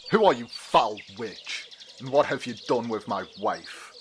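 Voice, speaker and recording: Man as hot-headed thunder god, blustering, slow delivery, audio drama, birdsong and noise behind. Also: medium pitch, lively intonation, natural voice. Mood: indignant. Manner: blustering, menacing. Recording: noticeable background noise.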